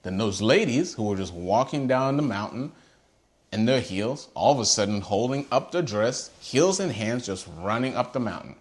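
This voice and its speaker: A man's voice: mid-range voice, animated, normal speed. A man from Haiti hosting his own channel, talking earnestly and passionately to camera and asking pointed rhetorical questions. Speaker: host. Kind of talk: earnest personal monologue to camera.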